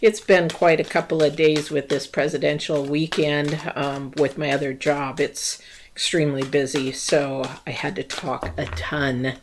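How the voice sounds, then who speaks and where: A woman from the United States giving a casual tarot reading, rambling with many fillers and restarts, her voice a little worn and going hoarse. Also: deep voice, flat delivery, measured pace, background noise. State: losing her voice. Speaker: tarot reader. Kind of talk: casual spiritual reading to camera.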